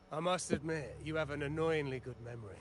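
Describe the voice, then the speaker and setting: A man's voice: a little high, slight background noise, lightly acted. An actor playing a weary, embittered old man in a video game, speaking in a solemn, rueful way. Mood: wryly impressed. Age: older. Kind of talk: scripted video game dialogue.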